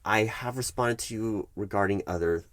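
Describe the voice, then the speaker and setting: A man acts out a stern, patient male HR manager in an ASMR office roleplay. His voice sits fairly low and is recorded close and dry. He is formal and halting, often doubling back on words to press his point. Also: no echo, lightly acted. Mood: firm, exasperated. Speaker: recruiting HR manager. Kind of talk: one-sided ASMR roleplay of a work meeting.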